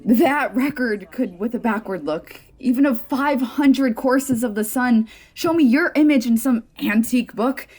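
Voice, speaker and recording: A woman's voice: fairly high pitch, measured pace, few pauses, darkish tone. A young woman performing a Shakespeare sonnet as a dramatic monologue. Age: young adult.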